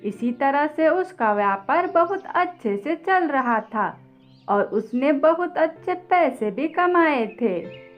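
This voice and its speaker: A woman's voice: sits high, lively intonation, takes it slowly, lo-fi sound, soft background music. A woman narrating a scripted children's story as a storyteller.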